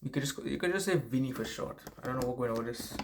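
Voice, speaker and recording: Young man, Trinidadian accent, casual vlog advice, rambling, profane, medium pitch. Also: animated, fast, creaky, a little hiss or hum. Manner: rambling, profane, frequent "right?" tags. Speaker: self-help vlogger. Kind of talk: casual advice monologue to camera.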